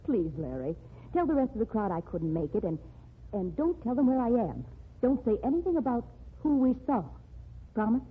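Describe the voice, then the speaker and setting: A woman's voice: on the high side, big pitch swings, normal speed, slightly rough. An actress playing a wealthy, headstrong society woman in 1940s radio acting, earnest and emphatic. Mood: insistent. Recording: tinny old radio broadcast.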